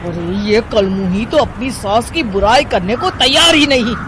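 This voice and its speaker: A woman acts out a scheming, scornful older aunt from a cartoon moral tale. Her voice is high and swings a lot in pitch, drawn out slowly as she complains, over noticeable background noise. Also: animated, natural voice. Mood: scheming, bitter. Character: jealous, meddling older aunt stirring up family trouble. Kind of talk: Hindi animated moral story acting. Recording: clear background noise, outdoor street ambience.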